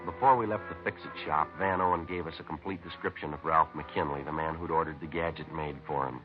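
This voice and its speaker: An actor playing a businesslike, methodical police detective in a 1950s radio crime drama, deadpan and matter-of-fact in clipped sentences. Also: male voice, a bass voice, brisk, over loud music. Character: businesslike, methodical male police detective narrating his case. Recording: tinny narrow-band vintage radio recording.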